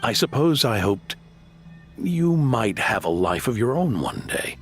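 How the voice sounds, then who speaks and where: An actor playing a kindly, thoughtful inventor in a video game, speaking gently and patiently like a father figure. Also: mid-range voice, very animated, a little slow, slightly rough, faint music behind. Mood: hesitant, warm.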